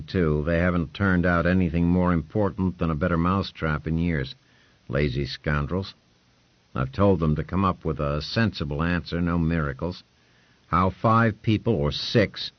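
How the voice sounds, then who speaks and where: A man's voice: deep voice, no echo, lo-fi sound, natural voice. A man voicing a domineering male lord who talks in curt, commanding orders.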